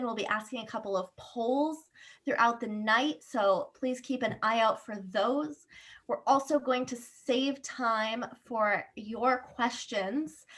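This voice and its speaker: A woman's voice: fairly high pitch, bright, forceful tone. Upbeat woman hosting an online interview. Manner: upbeat, welcoming, reads event housekeeping. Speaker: interview host, board member. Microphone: video call.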